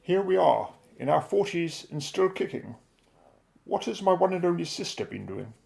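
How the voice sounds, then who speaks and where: Someone acting a very creaky adventurous middle-aged brother. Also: mid-range voice, sing-song, moderate pace, a little mellow. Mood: hearty, fond. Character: restless adventurous inventor brother in his forties. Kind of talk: amateur radio drama acting.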